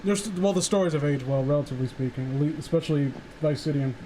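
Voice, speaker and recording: Male streamer, fairly high voice, casual banter, teasing and sweary, faint background noise. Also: fast talker, mellow, rough recording. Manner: profane, teasing. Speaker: streamer hosting a group call. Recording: faint background noise, livestream group call.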